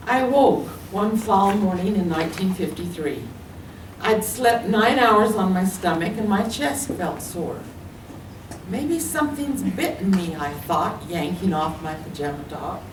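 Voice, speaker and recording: A woman reading her own memoir aloud at a library event, telling it with lively storytelling. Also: medium pitch, unhurried, a little hiss or hum. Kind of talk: literary reading. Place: library meeting room. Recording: echoey room, distant mic.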